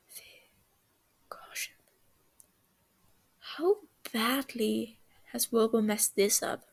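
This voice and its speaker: A woman reading a story aloud, slow and soft, with a gravelly texture to her slightly high voice. She sometimes drops to a whisper. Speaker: story reader. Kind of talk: reading fan fiction aloud.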